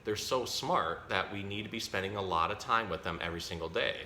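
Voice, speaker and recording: Bright, low-pitched man in a roomy space. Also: brisk. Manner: explains simple words, self-correcting, chatty. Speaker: zookeeper presenting an animal storytime. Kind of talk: casual educational talk for children. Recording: roomy echo, faint background noise.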